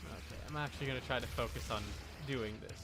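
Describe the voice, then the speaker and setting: A man's voice: mid-range voice, fast, over music. A man giving casual gaming livestream commentary in short, playful asides, as if talking to the game and chat. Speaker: livestream host.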